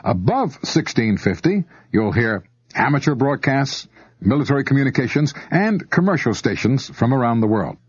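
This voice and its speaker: Husky American man narrating, lively intonation. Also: mid-range voice, moderate pace. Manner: clear step-by-step explaining, addressing the listener directly. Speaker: narrator of an instructional audio tape. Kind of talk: scripted instructional narration. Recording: dull lo-fi old cassette tape.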